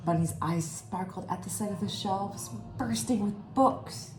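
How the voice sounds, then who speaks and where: Slow-reading woman storyteller in an echoey room. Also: medium pitch, music in the background. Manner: expressive, pausing to comment and ask listeners questions. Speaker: read-aloud storyteller. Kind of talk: children's picture book read-aloud. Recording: roomy echo, faint background noise.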